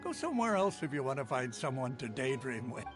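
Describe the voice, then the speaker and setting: A man's voice: medium pitch, sing-song, a little fast, over music, natural voice. A man voicing a blunt, dismissive market merchant in a game, with soundtrack behind. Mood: brusque. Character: brusque adult male market merchant.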